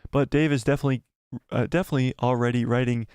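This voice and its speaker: A man hosting a casual live stream, rambling through commentary and reading out viewer comments, his voice going hoarse. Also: medium pitch, steady tone, racing, a little mellow, no room sound, clean studio sound. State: losing his voice.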